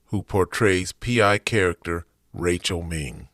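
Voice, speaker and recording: A man's voice: low voice, a little slow, fairly bright tone. A man reading a scripted promotional voice-over as an announcer. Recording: music behind the voice. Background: clear music.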